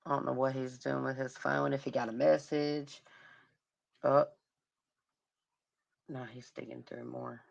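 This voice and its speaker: A woman hosting a casual livestream, commenting on a crime case in a hoarse voice as she loses it and humming to herself. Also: extremely low pitch, level tone, unhurried, pauses often, muffled recording. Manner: murmuring, sympathetic, hums to herself. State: hoarse, losing her voice. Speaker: livestream host.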